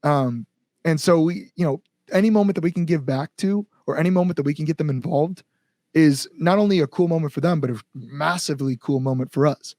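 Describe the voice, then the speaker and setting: An American man talks in a casual interview as a band's front-man. His voice sits a little high for a man and is recorded very dry. He speaks earnestly and informally. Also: brisk, warm tone, no room sound. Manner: earnest, informal with mild swearing.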